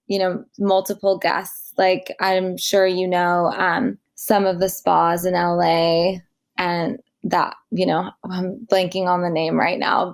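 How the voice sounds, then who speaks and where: A woman hosting a podcast, chatting casually and gushing with enthusiasm, rambling with many fillers. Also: mid-range voice. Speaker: podcast host. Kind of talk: casual interview chat.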